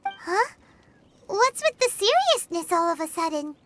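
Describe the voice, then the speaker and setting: A woman voicing a tiny, childlike fairy companion in a video game, squeaky, curious and blunt. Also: big pitch swings, a little slow, slight background noise, exaggerated voice. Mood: puzzled. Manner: refers to self in third person, blunt. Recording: game music loud behind.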